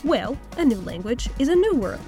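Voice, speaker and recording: A woman with a fairly high voice hosts a language-learning podcast. She explains phrases patiently, reading examples slowly and breaking them down. Soft music plays faintly behind her. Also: big pitch swings. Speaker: podcast host and Arabic teacher.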